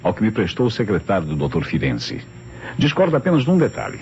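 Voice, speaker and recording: A low-voiced, methodical police inspector. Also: male voice, huge pitch swings, a little mellow. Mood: polite, firm. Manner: formal, measured questioning. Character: male police inspector, methodical, probing, courteous. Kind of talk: old radio mystery acting. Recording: dull, noisy old radio drama recording.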